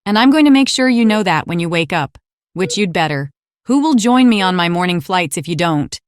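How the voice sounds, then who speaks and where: A woman's voice: lowish voice, animated, fairly quick, smooth, lightly acted. A woman playing a plucky, affectionate warplane in an audio drama, confident, fond and teasing. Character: plucky, affectionate older-sisterly female warplane.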